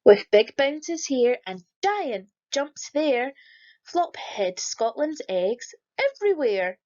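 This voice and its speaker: A woman reading a rhyming children's picture book aloud in a lively, sing-song storytelling manner. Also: high voice, slow, highs cut off. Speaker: storybook reader.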